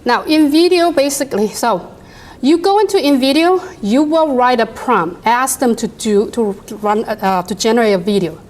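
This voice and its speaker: A woman with a non-native English accent presents a workshop to a live room. She runs a software demo, talks to the audience directly and laughs often. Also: fairly high pitch, lively intonation, moderate pace.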